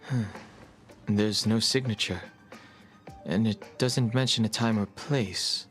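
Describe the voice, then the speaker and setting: A man playing a serious, guarded young man haunted by his past in a video game, speaking in a measured, reserved way. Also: lowish voice, fairly bright tone, soft background music. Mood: reserved, wary.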